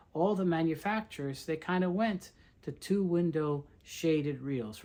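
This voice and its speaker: A man presenting a collection in a casual show-and-tell style, explaining in a hesitant way and often correcting himself. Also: a little high. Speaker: presenter and tape reel seller.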